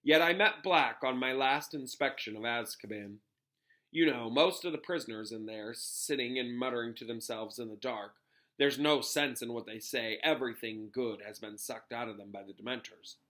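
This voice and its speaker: Man playing a grave government minister, bright-voiced. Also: medium pitch, animated, normal speed. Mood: grave, unnerved. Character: pompous middle-aged government minister, grave and self-important.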